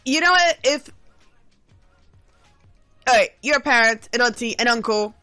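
A woman co-hosts a radio show in easy, animated chat, pressing her points with repeated phrases. Her voice sits fairly high with a bright, forceful edge. The studio sound is close and dry. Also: a little slow, no room sound, faint music behind. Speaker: radio co-host.

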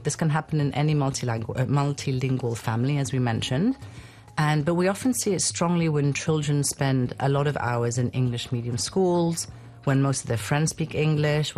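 A woman's voice: rumbling low, a little mellow. A woman speaking as a guest on a talk show about raising bilingual children, chatty and reassuring, often restarting her sentences. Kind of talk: radio talk show conversation.

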